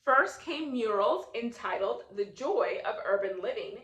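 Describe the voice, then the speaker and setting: Casting: female storyteller for a kids' picture book, animated and unhurried, recorded in an echoey room. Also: a little high. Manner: expressive, deliberate, engaging listeners with questions. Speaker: read-aloud storyteller, educator. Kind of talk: children's picture book read-aloud.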